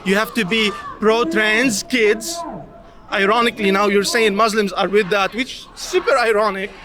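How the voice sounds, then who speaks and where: A man from Lebanon speaks in a heated, insistent way during an outdoor street interview at a protest. His voice is very high for a man, and a loud crowd roars behind him. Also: fairly even intonation, normal speed.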